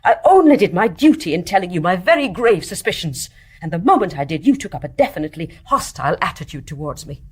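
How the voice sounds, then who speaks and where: Husky, resolute British nurse. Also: female voice, fairly low pitch, big pitch swings, quick pace. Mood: indignant. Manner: formal, insistent, precise. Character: accusing, dutiful, resolute private nurse. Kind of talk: British stage-play radio acting.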